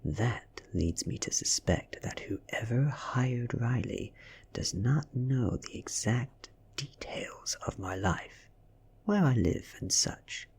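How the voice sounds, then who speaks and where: A man with a British accent reads a story aloud in a hushed, breathy, deliberate ASMR manner. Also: mid-range voice, animated. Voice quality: breathy, whispery. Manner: hushed, deliberate, measured delivery. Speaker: ASMR reader. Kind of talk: soft-spoken ASMR audiobook reading.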